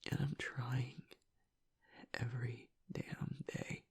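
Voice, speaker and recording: A man playing a gruff young werewolf pack alpha in an intimate audio roleplay, halting and tearful, his voice breathy and gravelly as he grieves and doubts himself. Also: little pitch movement, whispered, exaggerated voice. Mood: anguished, self-doubting. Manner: halting, low-voiced, choked with emotion. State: tearful, on the edge of crying.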